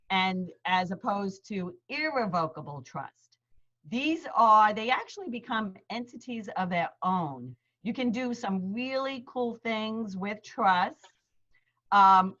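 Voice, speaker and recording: A woman's voice: medium pitch, a bit bright. A middle-aged woman with a New York accent from Queens, an attorney explaining legal terms step by step in a friendly, plain-spoken seminar over a video call. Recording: slightly dull lo-fi video call audio.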